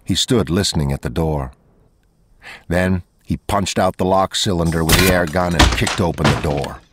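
Deep-voiced man reading an audiobook. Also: moderate pace, fairly bright tone, slight background noise. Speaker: audiobook narrator. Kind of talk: scripted audiobook narration.

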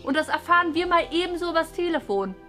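A young woman playing a flustered, indignant mother of two in an improvised family sketch, exaggerated and halting. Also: very high-pitched, expressive, pushed and bright, faint music behind, full character voice. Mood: exasperated. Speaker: actor in a family web series. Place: bright furnished rooms indoors.

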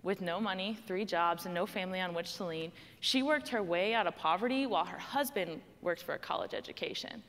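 A young woman from Texas speaks with a clear Texan accent, standing on a stage in a large hall. She tells a personal story in a conversational, emphatic way and leans on the key words. Her voice carries a long hall reverb. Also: lowish voice, fairly quick, hardly any pauses, fairly bright tone. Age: young adult. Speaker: student panel speaker. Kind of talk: prepared personal speech.

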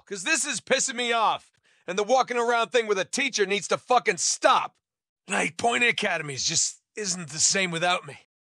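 A man voicing an irritated, sarcastic, confrontational male high school student in animated character voice lines. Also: no room sound, clean studio sound. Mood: brooding.

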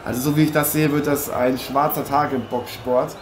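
A man playing a boxer who co-commentates in dramatic dismay, rambling and halting, in improvised parody live commentary. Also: medium pitch, level tone, lightly acted. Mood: somber. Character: American boxer Matthew Ironhead co-commentating, dramatically aghast. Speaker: boxing co-commentator. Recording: noisy amateur recording with ambience.

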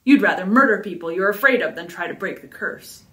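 A woman's voice: mid-range voice, expressive, brisk, fairly bright tone, recorded from a distance, lightly acted. A fierce young farm girl, scared yet stubbornly defiant, read aloud by a woman. The voice sits in a roomy, echoing space. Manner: defiant, emotional. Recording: roomy echo.

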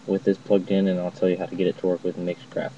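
A young man giving a casual, halting tutorial to camera as an app reviewer. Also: deep voice, monotone. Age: young adult. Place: indoors, dim cluttered room at home. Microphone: laptop mic, later phone headset mic. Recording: muffled, noisy webcam-style recording, distant mic.

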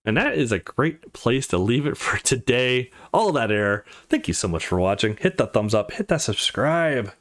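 Very animated man narrating a game. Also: mid-range voice, normal speed, few pauses. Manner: expressive, animated read-aloud. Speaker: let's play host. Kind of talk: reading a visual novel aloud with commentary.

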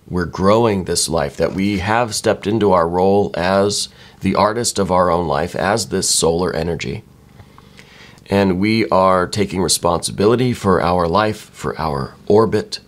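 Low voiced guy reading tarot cards, slightly croaky, keeps asking "right?". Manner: musing, repeats "right?" often. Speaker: tarot reader. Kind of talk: soft-spoken tarot reading.

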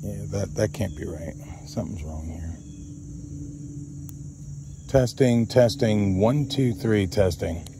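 A man with a fairly low voice talks casually and loosely, like a hobbyist vlogger out in a field. There is a faint layer of outdoor noise with insects behind him. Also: takes it slowly, pauses often. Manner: rambling, talks to his dog. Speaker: vlogger testing handheld radios. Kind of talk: casual chat with radio test calls. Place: outdoors in a grassy field by woods.

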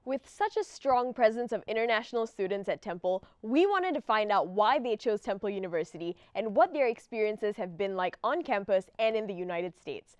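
A young woman hosting a student television talk show from a studio set, friendly and quick to laugh. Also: mid-range voice, big pitch swings, smooth, no echo. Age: young adult. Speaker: host.

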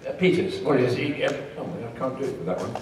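A man's voice: medium pitch, lively intonation, fast talker, very noisy. An older English man, a panel guest, chatting in a rambling, self-mocking way at a convention. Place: convention hall, audience present. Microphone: handheld mic, far camera. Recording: echoey hall from far off.

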